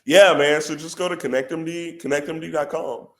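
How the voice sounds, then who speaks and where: A man with a head cold and a congested voice, a company president talking as a podcast guest in an animated, persuasive way. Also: on the high side, brisk. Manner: animated and persuasive, says "bro" a lot. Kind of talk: casual podcast conversation.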